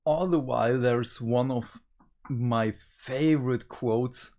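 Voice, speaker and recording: A man's voice: medium pitch, slow pace, a little mellow. A man hosting a casual review discussion, talking in a conversational way. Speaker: review show host.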